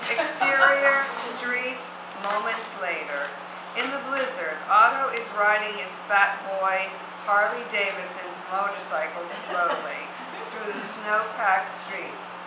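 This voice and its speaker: A woman's voice: medium pitch, slow. A woman reading stage directions as the narrator of a scripted reading. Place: theatre stage, seated, distant camera mic. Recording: echoey theatre, noisy background.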